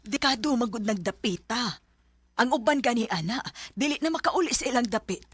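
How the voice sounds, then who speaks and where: A woman's voice: a little high, expressive, a little fast, slightly rough, no room sound. An actress playing a doubting, worried middle-aged village mother in a Bisaya radio drama, with a Cebuano Filipino accent. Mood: worried, reproachful.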